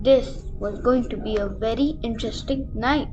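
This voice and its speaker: A woman's voice: fairly high pitch, normal speed, noisy background. A woman giving scripted narration for a spooky radio play. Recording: dull audio under loud music. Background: loud music.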